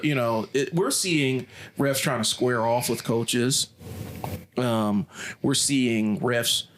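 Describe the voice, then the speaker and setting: Blunt male coach, opinionated podcast co-host. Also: mid-range voice. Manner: blunt, ranting, casual swearing.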